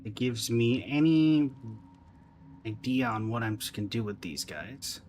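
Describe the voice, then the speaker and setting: A man's voice: mid-range voice, expressive, a little slow, darkish tone, a little hiss or hum. A man giving casual, dry, wry commentary as he streams a video game. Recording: music behind the voice. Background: video game music.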